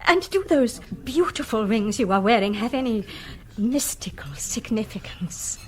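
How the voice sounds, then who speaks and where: A woman's voice: fairly high pitch, animated, unhurried. A woman playing an eager, impressionable society lady in a radio comedy, upper-class English, breathless and gushing. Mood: flustered, fascinated. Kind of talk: radio comedy drama acting.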